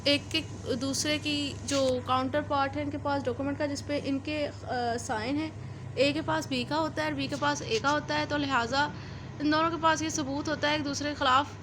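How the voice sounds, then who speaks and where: A woman's voice: sits high, steady tone, moderate pace, noisy background. A woman with a Pakistani accent giving an explanatory law lecture step by step, rephrasing her points and mixing in English legal terms.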